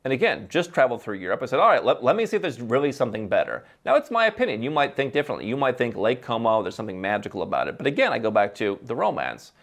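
Animated man, talking-head commentary. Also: medium pitch, rapid-fire, few pauses. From Ohio, USA. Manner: animated, gestures with hands, rhetorical questions. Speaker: host. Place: dim indoor library set.

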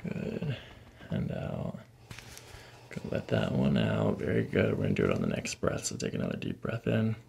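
A man in his thirties, a chiropractor, quietly guiding a patient in an ASMR style with soothing, repetitive short cues. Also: extremely low pitch, huge pitch swings, normal speed, gravelly. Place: small carpeted treatment office. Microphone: boom-arm microphone near the treatment table. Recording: noisy room recording with clicks.

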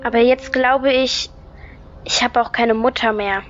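An actress playing a villainess's bitter, defiant daughter in a radio drama. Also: female voice, a little high, fairly even intonation, measured pace, lo-fi sound, slight background noise, lightly acted.

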